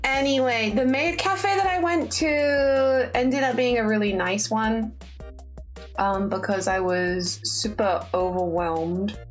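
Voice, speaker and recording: A woman streamer in casual chat with her viewers, bubbly and rambling with many fillers and restarts, tired and lower-voiced from jet lag. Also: slow pace, faint music behind.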